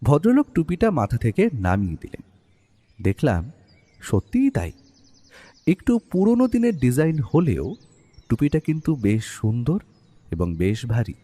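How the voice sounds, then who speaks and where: Guy as reflective mystery narrator, husky n dark, big echoey reverb, animated reading. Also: medium pitch, unhurried, pauses often. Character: observant male friend of the detective, narrating reflectively. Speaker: first-person narrator. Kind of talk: scripted story narration. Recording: long reverberant hall-like echo.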